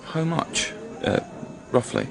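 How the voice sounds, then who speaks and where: A man's voice: slow pace, music in the background, close to an everyday voice. An actor playing a tactful but persistent male police detective in a radio crime drama, with an informal, coaxing way of questioning. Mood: probing, coaxing.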